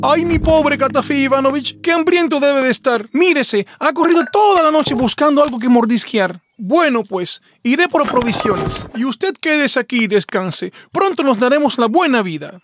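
A man voicing a sly, flattering female fox in an animated folk tale, gushing and coaxing. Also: very high voice, normal speed, hardly any pauses, noisy background, pitched above the performer's own voice. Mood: coddling.

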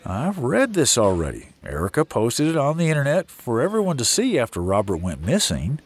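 An actor playing a weary, skeptical sheriff in an audio drama. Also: male voice, mid-range voice, huge pitch swings, fairly quick, husky, heavily acted. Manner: weary, sceptical. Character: weary, skeptical small-town American sheriff.

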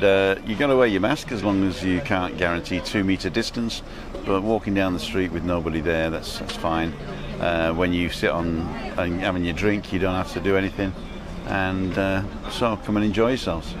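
A man's voice: sits low, steady tone, normal speed, few pauses. A middle-aged man talking to camera outdoors in a casual, rambling vlog style. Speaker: vlogger. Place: outdoors at a bus stop and a bar terrace.

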